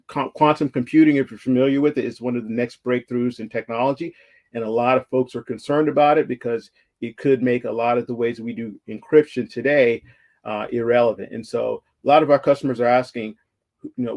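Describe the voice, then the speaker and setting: A man speaking at a virtual summit, walking through slides in a relaxed, conversational way. His voice sits at a middle pitch with a gravelly, creaky texture. He talks through a call microphone and often stops to restart his sentences. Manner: conversational, frequent fillers and restarts. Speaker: conference speaker. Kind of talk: presenting slides in a virtual talk.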